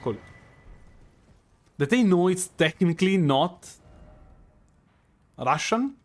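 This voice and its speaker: A man speaks with a strong Italian accent in a fairly high voice. He streams a game live and muses aloud, stopping and restarting, filling gaps with ums. The talk is loose and unhurried, chatting with his viewers as he plays. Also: sing-song. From Italy. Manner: musing, hesitant, many fillers and restarts. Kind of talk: live gameplay commentary.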